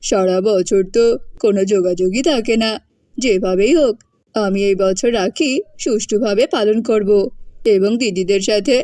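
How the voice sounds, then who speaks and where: Casting: earnest young college boy for a cartoon, played by a woman, plaintive peacemaker, voice pitched down, dry recording. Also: moderate pace, dark tone, no echo, natural voice. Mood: hopeful, excited. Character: cheerful young college-age brother, pleading for peace. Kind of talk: cartoon voice acting.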